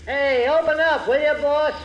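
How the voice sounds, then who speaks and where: High-voiced, soft-hearted radio sidekick. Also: male voice, steady tone, normal speed. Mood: sheepish, plaintive. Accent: American. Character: Shorty, soft-hearted, dim, loyal sidekick, adult man. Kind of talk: 1940s radio drama acting. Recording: muffled, hissy vintage radio recording.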